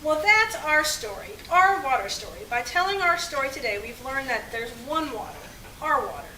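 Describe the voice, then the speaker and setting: A woman's voice: sits high, sing-song, moderate pace, sharp tone. A woman presenting a children's puppet show with scripted lines addressed to the audience. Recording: echoey, distant, noisy.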